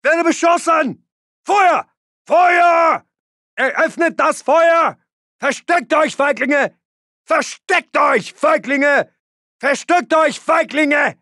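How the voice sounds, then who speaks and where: An aggressive German soldier for a war video game. He barks urgent, commanding battle orders at a very high, strained pitch, drawing words out slowly. The sound is close and dry. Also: pushed and bright, dry recording, raised voice, exaggerated voice. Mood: urgent shouting.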